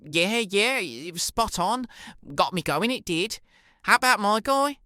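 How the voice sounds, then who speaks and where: Stammering, nervous British gigolo, played by an actor. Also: male voice, high-pitched, animated, measured pace, pushed and bright, no echo, full character voice. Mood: casual. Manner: stammering, colloquial. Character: nervous, apologetic hired male escort, easily flustered. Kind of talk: audio play acting.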